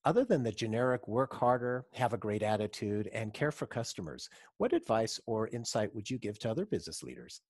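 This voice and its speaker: A man's voice: lowish voice, big pitch swings, fairly quick, few pauses, a little mellow, dry recording, studio quality. A man hosting a business podcast, reading his questions in an upbeat, announcing way and lifting his voice on each question number. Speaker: podcast host. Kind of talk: scripted podcast interviewing.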